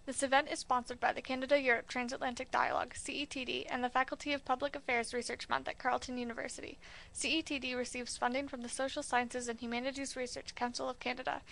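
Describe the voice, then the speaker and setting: A woman reading a scripted sponsor announcement in a brisk read-aloud manner. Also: sits high, level tone, creaky, a bit bright, poor audio.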